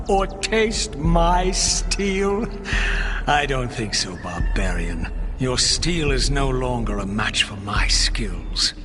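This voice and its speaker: An actor playing an arrogant traitor sorcerer, a man who mocks with drawn-out theatrical menace. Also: medium pitch, sing-song. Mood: mocking. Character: arrogant sneering traitor sorcerer, male villain. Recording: loud music behind the voice.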